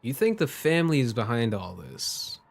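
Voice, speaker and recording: A woman's voice: very deep voice, big pitch swings, normal speed, gravelly, darkish tone. A woman streaming a game, giving casual live reaction commentary.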